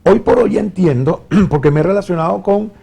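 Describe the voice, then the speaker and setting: A mature Venezuelan man talks as an interview guest and radio veteran. His voice is high for a man, husky and dark, with a mellow edge. He reminisces casually, halting and repeating himself in an agreeable way. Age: middle-aged or older.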